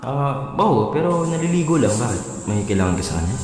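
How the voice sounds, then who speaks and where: A man's voice: medium pitch, very animated, brisk, darkish tone, lightly acted. An actor playing a thrifty, dutiful but easily exasperated husband and father in a Filipino radio drama. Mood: casual. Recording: very echoey hall, music behind.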